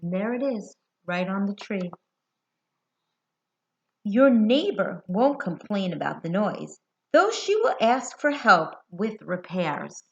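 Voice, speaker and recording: An American woman reading a picture book aloud to young children, expressive and deliberate, putting questions to her listeners. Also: medium pitch. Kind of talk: children's read-aloud storytelling. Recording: dull lo-fi sound.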